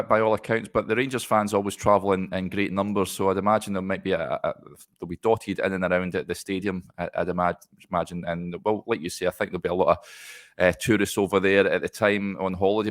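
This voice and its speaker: A man hosting a casual interview, easygoing and quick with short replies. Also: on the low side, a bit bright. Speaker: interview host.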